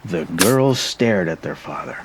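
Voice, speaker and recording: A man's voice: sits low, animated, measured pace, background noise. A man in an ASMR roleplay, casually reading a story aloud and chatting between passages.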